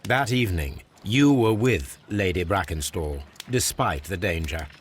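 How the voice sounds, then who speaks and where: An actor playing a cool, shrewd Victorian detective in a video game, speaking formally and incisively. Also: male voice, low-pitched, animated, unhurried, a little hiss or hum. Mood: coolly accusing. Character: cool, shrewd Victorian male detective, self-assured and probing. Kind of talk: video game cutscene acting.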